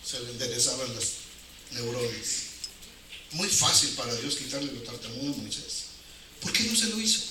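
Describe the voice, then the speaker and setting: A man with a Mexican accent preaching an informal sermon in colloquial words, using rhetorical questions and repeating phrases for emphasis. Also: medium pitch. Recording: very echoey hall, distant, noisy.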